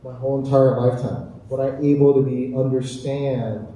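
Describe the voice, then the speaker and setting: A man with an American accent speaking reflectively on a panel as a chef, earnest and deliberate, repeating phrases for emphasis. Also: medium pitch, flat delivery, mellow. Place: echoey indoor community event space. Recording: echoey hall, distant mic, faint noise.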